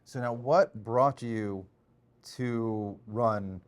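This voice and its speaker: A man's voice: mid-range voice, drawn-out words. A man hosting a political interview, hammy and jokey, stumbling over his words now and then. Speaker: political analyst and host. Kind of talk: casual interview.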